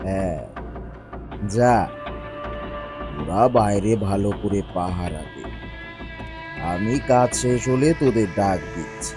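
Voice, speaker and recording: A man's voice: on the low side, slow pace, pauses often, over music. A man playing a calculating, corrupt political boss, commanding and slangy, in a horror cartoon. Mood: callous, commanding. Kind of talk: animated horror cartoon dialogue.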